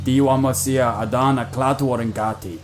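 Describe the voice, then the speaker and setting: A man's voice: mid-range voice, fairly even intonation, brisk, over music, close to an everyday voice. An actor playing a brusque little policeman who talks in curt, multilingual street slang in a radio drama. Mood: curt, insistent. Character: brusque little male cop talking street patois.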